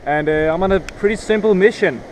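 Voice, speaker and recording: A man's voice: sits high, a little fast. A young man with a Danish accent talks loosely to the camera outdoors, joking and hesitating with fillers. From Denmark. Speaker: vlogger. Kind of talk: casual talk to camera. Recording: faint outdoor noise.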